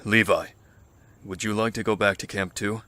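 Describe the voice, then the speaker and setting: An actor playing a calm, authoritative leader of survivors in an audio drama, measured, polite and firm. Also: male voice, on the low side. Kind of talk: scripted audio drama acting.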